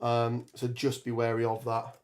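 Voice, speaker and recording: A man with a hoarse voice from a cold, chatting on a live stream as he builds. He rambles, restarts sentences and fills pauses with ums. His pitch sits in a middle range. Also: monotone. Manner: rambling, many ums and restarts, reads chat aloud. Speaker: tabletop gaming streamer. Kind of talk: casual live stream chat while building terrain.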